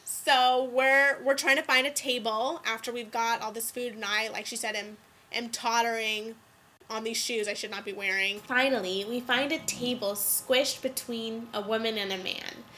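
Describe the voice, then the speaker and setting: A woman hosting a podcast, congested from a cold, chatting and explaining in a clear, casual way. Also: fairly high pitch, steady tone, normal speed, pushed and bright, a little hiss or hum. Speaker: podcast host. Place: indoors.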